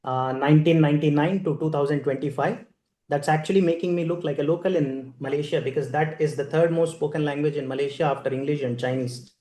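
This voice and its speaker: Indian-accented man, guest speaker telling a personal story, mellow tone, roomy hall sound. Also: on the high side. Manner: storytelling, conversational, many fillers and restarts. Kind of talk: personal story speech at a ceremony.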